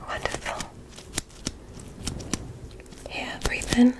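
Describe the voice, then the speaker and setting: A woman voicing a gentle, curious alien doctor in an ASMR medical roleplay, whispering soothing, softly formal instructions. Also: extremely slow. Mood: soothing, clinical. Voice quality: breathy whisper.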